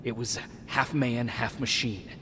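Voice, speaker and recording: Male army private played by an actor: steady, sincere, middle register, video game drama, faint rumble. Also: natural voice. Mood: quiet, earnest. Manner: measured, earnest. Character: calm, dutiful young male resistance soldier.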